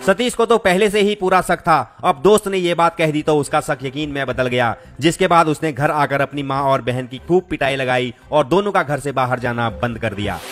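A man's voice: on the high side, a bit bright. A man reading a scripted true-crime story, addressing listeners directly as a storyteller. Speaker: narrator of a crime story channel. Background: music behind the voice.

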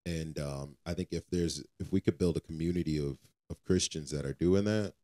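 Deep, mellow-voiced man, earnest and exhorting. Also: normal speed. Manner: earnest, exhorting, repeats phrases for emphasis. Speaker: podcast co-host. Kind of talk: casual faith podcast talk.

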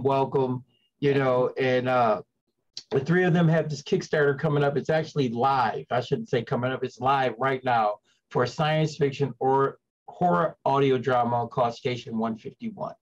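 A man's voice: medium pitch, moderate pace. A man hosting a casual livestream interview, peppering his talk with "you know". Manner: repeats "you know" as filler. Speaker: show host. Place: indoors on a video call. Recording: slightly dull lo-fi audio.

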